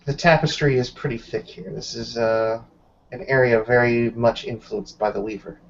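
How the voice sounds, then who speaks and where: A man with an average-pitched voice plays a tabletop roleplaying game. He moves casually between in-character talk and describing actions. The sound is a little dull. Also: fairly even intonation, normal speed, creaky, a little hiss or hum. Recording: dull, lo-fi voice recording.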